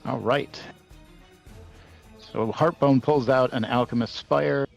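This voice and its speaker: A man's voice: medium pitch, steady tone, slow pace, gravelly, muffled recording, soft background music. A man chatting casually as a player in a tabletop roleplaying game.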